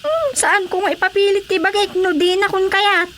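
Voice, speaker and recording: A woman's voice: very high-pitched, little pitch movement, moderate pace, a bit bright, a little hiss or hum. A woman acting a listless, lazy young wife in a radio drama, evasive, with an Ilocano accent.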